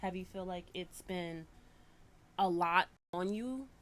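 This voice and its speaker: A woman's voice: fairly low pitch, takes it slowly. A woman in her thirties hosting a podcast, chatting casually and telling stories animatedly. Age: 30s. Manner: animated storyteller, swings from soft musing to loud excitement. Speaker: podcast host.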